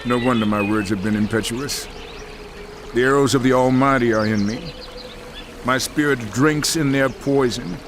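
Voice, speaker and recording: A man's voice: medium pitch, moderate pace, noisy background, natural voice. An actor playing an anguished, suffering biblical man who laments and protests with passion in a dramatized scripture reading. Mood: anguished, bitter. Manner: impassioned, lamenting.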